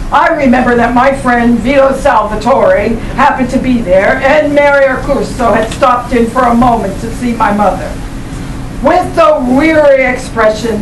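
Older female reader for literary narration: high voice, slow expressive delivery, echoey room. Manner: deliberate, expressive. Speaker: performer of a dramatic reading. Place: small indoor meeting room with audience. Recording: roomy, noisy event room, distant microphone.

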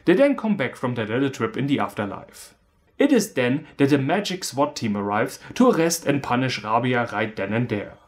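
A man's voice: mid-range voice, sing-song, normal speed, slight background noise. A man in his thirties from Germany with a German accent, delivering a scripted, humorous film review in a sardonic manner.

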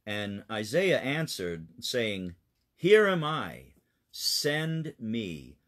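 A man's voice: lowish voice, very animated, extremely slow, a bit bright. Man reading a children's story aloud. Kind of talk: read-aloud storytelling with simple asides.

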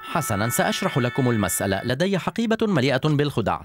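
Man voicing a dutiful, fast-talking slug assistant. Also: medium pitch, very animated, natural voice. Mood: explaining. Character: dutiful, put-upon male banana slug scout assistant. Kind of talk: cartoon dub voice acting. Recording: music behind the voice.

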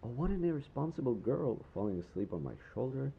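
A man's voice: mid-range voice, big pitch swings, a little fast, gravelly, husky, narrow, tinny audio, exaggerated voice. A man acting a kind, teasing young stranger who guides a lost girl, speaking casually to the listener in an intimate roleplay audio drama. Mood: quiet, fond. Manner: casual, playfully teasing, mildly awkward, swearing.

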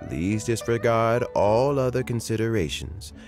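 An actor playing a kindly, reflective elderly official in a video game, talking in a measured, musing way over background music. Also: male voice, on the low side, expressive. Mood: composed, candid. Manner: measured, musing, chuckling delivery. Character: elderly male government elder, kindly, reflective, fond of fishing.

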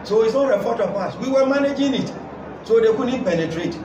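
Emphatic coach in an echoey press room. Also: male voice, extremely high pitch, expressive, brisk, muffled recording. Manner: emphatic, repeats key points, gestures while talking. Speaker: football coach. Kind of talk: post-match press conference answers. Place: indoor press room with sponsor backdrop. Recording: echoey room, mic far off, loud crowd noise.

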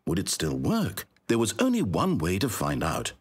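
An actor playing a pompous, needy all-knowing video game storyteller, with a British accent and an articulate, wry, melodramatic delivery. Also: male voice, sits low, huge pitch swings, normal speed, slightly rough, exaggerated voice. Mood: solemn storytelling. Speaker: game narrator. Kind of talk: theatrical scripted narration.

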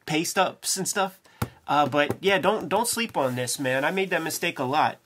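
A man's voice: on the high side, moderate pace, fairly bright tone, slight background noise. A man in casual chat about comics, enthusiastic and slangy, saying "man" and "dude" often. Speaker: co-host.

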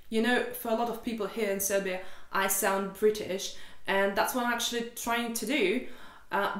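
Russian girl venting on her vlog, sweary and sarcastic, kinda echoey room. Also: mid-range voice. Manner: exasperated, candid, sarcastic, swears freely. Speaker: vlogger. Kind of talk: casual chat to camera. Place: small room indoors.

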